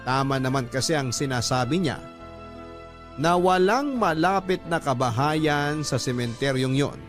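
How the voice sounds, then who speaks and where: A man's voice: medium pitch, lively intonation, unhurried. Man narrating over background music. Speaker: narrator reading a letter. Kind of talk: scripted narration. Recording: music bed behind narration.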